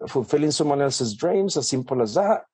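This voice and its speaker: A man's voice: mid-range voice, lively intonation, fairly quick, darkish tone, husky, no echo. A man telling his own story as a guest in an interview, in a chatty way with many fillers and restarts. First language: not English. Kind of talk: conversational interview.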